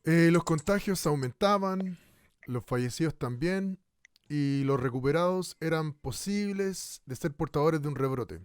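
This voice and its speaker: A man's voice: fairly high pitch, a little slow, clear, resonant tone, dry recording, studio quality, close to an everyday voice. A man playing a probing radio presenter who poses rhetorical questions in a scripted style. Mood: inquisitive. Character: probing male radio presenter looking back at the past. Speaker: radio host.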